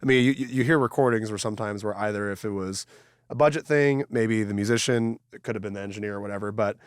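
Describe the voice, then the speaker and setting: A man's voice: on the low side, fast, clear, resonant tone, no room sound, clean studio sound. A congested man, a podcast co-host, rambling in a casual chat with many stammers and restarts. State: congested from a cold.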